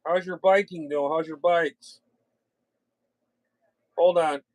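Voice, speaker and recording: A man with a slightly high-set voice hosts a casual livestream. He talks bluntly and loosely, in slang peppered with swearing. The sound is dry, with no room around it. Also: steady tone, slow, darkish tone, no room sound, rough recording. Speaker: livestream host and ham radio hobbyist.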